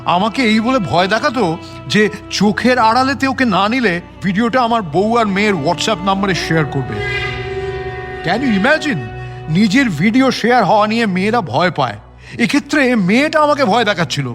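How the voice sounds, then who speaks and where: A man playing a self-important middle-aged film producer with a gravelly voice in a radio drama. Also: high-pitched, very animated, moderate pace, music in the background. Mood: cowed, pleading. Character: blustering, self-important male film producer who turns grovelling.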